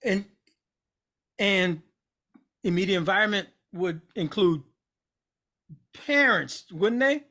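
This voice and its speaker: A man co-hosting a casual chat, talking bluntly with lots of swearing and animated retelling. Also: sits high, takes it slowly, pauses often. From United States. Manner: blunt, profane, animated storytelling. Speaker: podcast co-host.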